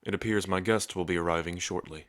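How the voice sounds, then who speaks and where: A man's voice: sits low, fairly even intonation, quick pace, fairly bright tone. A man playing a polite, reserved arbiter in an ASMR roleplay, speaking in a formal, courteous manner. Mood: calm, courteous.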